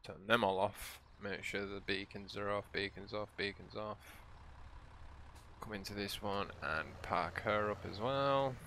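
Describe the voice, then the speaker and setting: A man with a croaky, hoarse voice hosts his own gameplay channel. He talks in a low register with a bright, forceful edge and chats along in a relaxed, meandering way. It sounds like casual commentary over a video game. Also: measured pace, slight background noise. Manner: relaxed, rambling, chatty. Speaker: let's play host.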